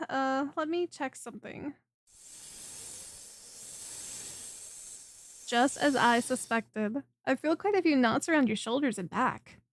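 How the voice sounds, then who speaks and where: A woman voices a snake-bodied monster girl who works as a chiropractor in an ASMR audio roleplay. The voice is high and very dry, close to the listener with no room sound. The delivery is soft and attentive, clingy and coaxing, with a teasing streak. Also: no room sound. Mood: soothing, attentive. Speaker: voice performer.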